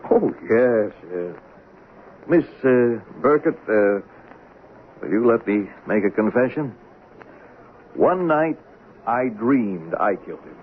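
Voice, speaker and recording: An actor playing a patient, placating police official who speaks haltingly in a 1970s radio drama. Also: male voice, fairly low pitch, lively intonation. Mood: sympathetic, confiding. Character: soothing, hesitant police official humoring a deluded woman. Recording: narrow, hissy old radio broadcast.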